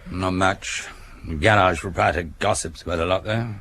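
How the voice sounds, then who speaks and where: A man's voice: sits low, fairly even intonation, moderate pace, a bit bright. An actor playing a dry, dutiful police inspector who reports to his chief in clipped, wry phrases in a radio drama. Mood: wry, reporting.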